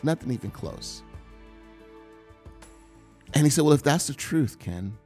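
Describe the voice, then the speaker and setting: A man giving an earnest, confessional sermon testimony, often halting and repeating words as he speaks. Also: mid-range voice, lively intonation, darkish tone, husky, soft background music.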